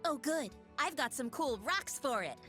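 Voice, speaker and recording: A woman's voice: high-pitched, expressive, moderate pace, sharp tone, faint music behind. A woman playing an eager, friendly young girl stone mage in a video game, chirpy and earnest. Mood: cheerful.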